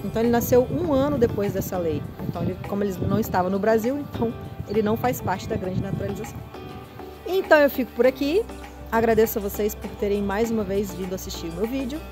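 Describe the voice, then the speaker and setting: A Brazilian woman with a Brazilian Portuguese accent talks to her viewers in a relaxed, explanatory way, stumbling and restarting as she goes. Her pitch sits in an ordinary middle range. Clear background music plays under her voice. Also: fast. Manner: chatty, explains step by step with many restarts. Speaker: vlogger. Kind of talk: casual explainer vlog.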